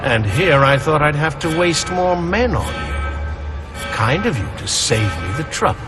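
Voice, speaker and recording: An actor playing a smug, menacing older scientist villain in a game, mock-polite and condescending with drawn-out words. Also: male voice, on the high side, sing-song, over music. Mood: mock-polite menace. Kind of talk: video game cutscene acting.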